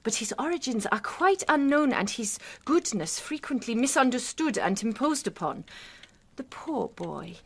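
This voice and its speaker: A woman's voice: a little high, big pitch swings, moderate pace, slightly rough, exaggerated voice. An actress in a period radio drama playing an anxious, kindly eighteenth-century farmer's wife, polite and earnest in her speech. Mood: earnest, eager.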